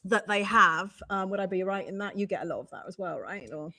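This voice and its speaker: A woman's voice: medium pitch, fairly quick, sharp tone. A woman with a British accent hosting a live video-call broadcast. She rambles in a bubbly way and plays with her words. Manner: bubbly, rambling, playful word slips. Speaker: host. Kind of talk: casual live-stream interview.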